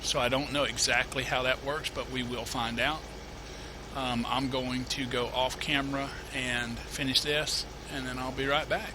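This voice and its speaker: Guy with a cold doing a chill farming game walkthrough, lots of uh's, noisy mic hiss. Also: mid-range voice. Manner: rambling, self-correcting, many uh fillers. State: has a cold, sniffling. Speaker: gameplay tutorial host. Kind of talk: casual let's-play commentary.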